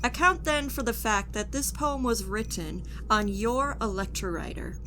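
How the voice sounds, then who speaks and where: Woman acting a cold, high-voiced futuristic judge. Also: lively intonation, normal speed, a bit bright, a little hiss or hum. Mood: cold, interrogating. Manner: clipped, officious, coldly formal. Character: stern, contemptuous female military judge of a futuristic technocracy. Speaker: actor. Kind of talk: audio drama acting, formal courtroom interrogation.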